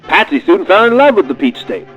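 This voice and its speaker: Man as radio play narrator, scripted, dry, dramatic, animated intonation, faint music behind. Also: sits high, brisk, dull audio. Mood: mock solemn. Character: dry, dramatic storybook narrator of a mock biography.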